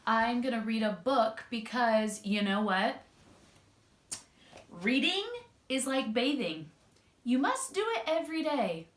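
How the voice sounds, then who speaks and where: Woman, children's storytime reader, high voice, very lively intonation, talks directly to kids, echoey room. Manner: expressive, drawn-out emphasis, addresses young listeners directly. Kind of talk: animated children's storytime read-aloud.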